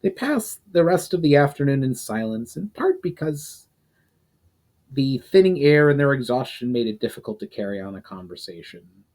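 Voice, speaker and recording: A man's voice: medium pitch, big pitch swings, moderate pace, darkish tone. Man dramatically reading a fantasy story. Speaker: writer reading own novel aloud. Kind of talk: dramatic audiobook-style narration of a fantasy story.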